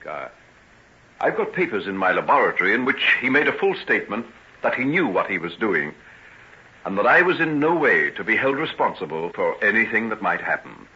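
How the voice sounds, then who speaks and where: An actor playing a guarded Victorian gentleman doctor in an old-time radio drama, with measured, hesitant answers. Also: lowish voice, big pitch swings. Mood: earnest, careful. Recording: dull vintage radio recording.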